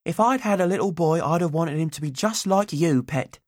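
A man plays a motherly storybook auntie, pitched up from a low natural register. The talk is affectionate and homely, doting on a child, in a very dry recording. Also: lively intonation, moderate pace, no echo. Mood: tender, wistful. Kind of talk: audiobook character voice.

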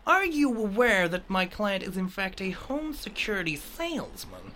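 A woman's voice: mid-range voice, wildly swooping pitch, moderate pace, fairly bright tone. A woman playing a formal, pressing courtroom lawyer in a comedy sketch. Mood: formal, probing.

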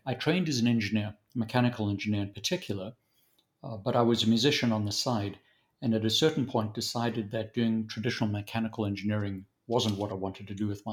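Southern African man, low voice. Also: a little fast. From born in Zambia, raised in South Africa. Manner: thoughtful, with frequent restarts and hesitations. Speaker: guest consultant. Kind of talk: conversational interview.

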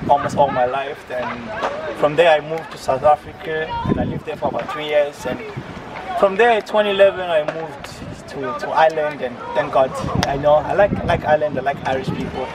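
A man's voice: fairly high pitch, steady tone, brisk, a crowd in the background. A man, earnestly preaching outdoors and repeating himself often. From Zimbabwe. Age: 20s. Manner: earnest, repetitive, many restarts. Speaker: street evangelist. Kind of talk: interview answers, preaching. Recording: distant mic, loud outdoor traffic noise.

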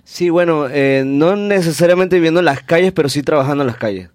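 A man's voice: a little high, a little fast, no room sound, clean studio sound. A man from Peru, a musician, answering radio interview questions in an easygoing way. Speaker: musician guest.

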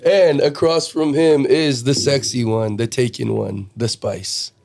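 Hoarse, gravelly, profane podcast host. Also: male voice, mid-range voice, fairly even intonation, a little slow, darkish tone. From Korean heritage. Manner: brash, teasing, swears a lot, laughs often. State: hoarse from cheering. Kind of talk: profane casual podcast chat. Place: backyard.

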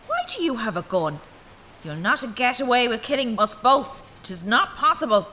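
Young Irish daughter, defiant, blunt, exasperated, piercing edge, very animated intonation, audio drama. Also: female voice, on the high side, moderate pace, slight background noise. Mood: incredulous. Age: young adult.

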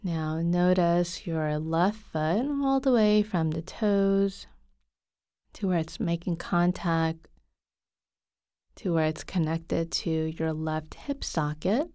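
A woman leads a guided meditation at a very slow pace, softly and calmly. Her tone is mellow and slightly breathy, with long pauses between phrases. The sound is dry and close. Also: on the low side, dry recording. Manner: soft, calm, unhurried, with frequent pauses. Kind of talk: guided body scan meditation.

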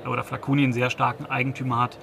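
A man's voice: medium pitch, little pitch movement, racing, creaky, background noise. A man in his thirties, a company founder, giving a business interview, hesitant, with many restarts and fillers. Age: 30s.

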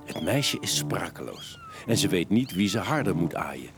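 A man's voice: low voice, wildly swooping pitch, moderate pace, vocal fry. A man narrating a children's audio story in a dramatic, suspenseful, scripted style. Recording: music bed behind the narration.

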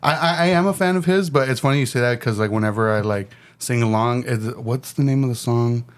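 A man hosting a podcast, chatting casually in an easygoing way with a slightly raspy voice, laughing often and restarting his sentences. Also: mid-range voice, fairly quick. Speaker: podcast host. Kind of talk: casual chat.

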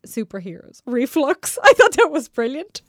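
A woman's voice: sits high, sing-song, normal speed, a bit bright, no echo, studio quality. A woman co-hosting a casual film review podcast, laughing often as she gushes over films and rants about actors. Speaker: podcast co-host.

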